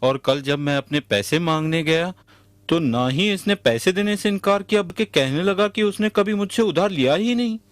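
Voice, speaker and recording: A man's voice: high voice, expressive, moderate pace, soft background music. A man playing an earnest, good-natured butter merchant in a Hindi cartoon. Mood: aggrieved. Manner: earnest, respectful.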